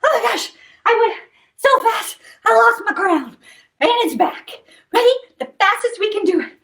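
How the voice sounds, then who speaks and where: Very high, animated woman hosting children's storytime. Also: normal speed, vocal fry. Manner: exclamatory, playful, addressing children directly.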